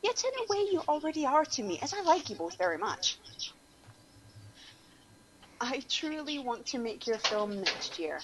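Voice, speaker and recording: A woman on an online radio show giving a mocking dramatic reading, with sarcastic asides. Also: on the high side. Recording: noisy room ambience behind voice.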